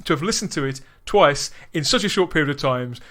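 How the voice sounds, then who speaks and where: A man's voice: on the high side, expressive, brisk, sharp tone. Wry British man hosting a podcast. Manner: friendly, asks questions, wry. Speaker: podcast host.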